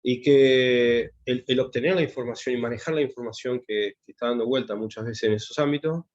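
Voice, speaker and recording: A man's voice: medium pitch, brisk, a little mellow, highs cut off. A man, a taekwondo instructor giving an online class, hesitant and full of fillers and restarts. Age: 50s. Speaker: taekwondo instructor teaching English.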